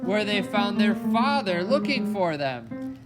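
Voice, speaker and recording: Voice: mid-range voice, very animated, slow pace, low-quality recording. Storyteller with music playing behind. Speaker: storyteller narrator. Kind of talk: children's puppet show storytelling. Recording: loud music alongside the voice.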